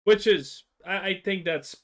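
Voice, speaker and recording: A man giving unscripted, blunt and critical reaction commentary on games, haltingly and with repeated words. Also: sits high, fairly bright tone. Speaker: video commentator reacting to another creator's video.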